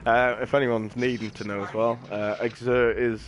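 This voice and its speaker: A man's voice: mid-range voice, flat delivery, normal speed, a little hiss or hum. A man giving casual gameplay commentary in a rambling, matter-of-fact, slangy way. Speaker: game streamer. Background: game music and sound effects.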